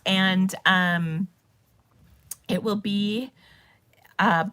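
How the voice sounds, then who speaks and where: A woman hosting a casual live craft stream, chatty and bubbly, her voice a little hoarse because she is losing it. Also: mid-range voice, fairly even intonation, drawn-out words, bright, forceful tone. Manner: chatty and bubbly, with frequent ums and laughs. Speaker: host of a live stamping and cardmaking stream.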